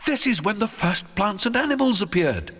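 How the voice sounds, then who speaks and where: A man voicing an excitable, earnest young explorer in an audiobook adventure, eagerly explaining and exclaiming to his uncle. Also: high-pitched, moderate pace, pitched above the performer's own voice, heavily acted. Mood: excited wonder. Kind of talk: audiobook character dialogue. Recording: long hall-like reverb.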